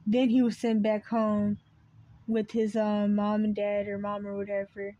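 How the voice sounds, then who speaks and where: A woman's voice: mid-range voice, monotone, unhurried, dark tone, lo-fi sound, slight background noise. A woman giving an unscripted, rambling monologue to the camera. She often loses her train of thought and starts over, and her voice cracks. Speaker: video creator.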